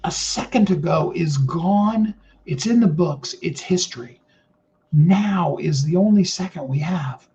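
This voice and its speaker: A middle-aged man speaks in a naturally high voice, earnest and rambling, piling up fillers as he circles his point. His tone is soft and mellow. The recording sounds muffled and lo-fi. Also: animated, measured pace, a little hiss or hum. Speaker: motivational speaker. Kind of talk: casual motivational talk.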